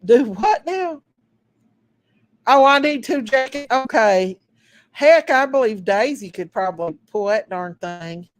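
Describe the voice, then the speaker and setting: A woman's voice: on the high side, animated, unhurried. A middle-aged woman from southeast Kentucky with an Eastern Kentucky Appalachian accent, chatting folksily with her livestream viewers and laughing easily. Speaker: channel host. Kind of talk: casual livestream chat reading comments.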